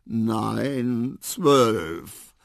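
A shy young storybook elephant, acted by a narrator, drowsy and gentle. The words come slowly and hesitantly in a husky murmur with some creak. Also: mid-range voice, very animated, no echo, clean studio sound, pitched above the performer's own voice, exaggerated voice. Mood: sleepy, patient.

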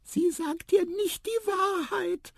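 A performer voicing a tiny, cheeky, curious talking mouse with a piping squeak, lively and chirpy, in a children's story. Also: slow pace, gravelly, no room sound, clean studio sound, exaggerated voice. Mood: brisk, curious.

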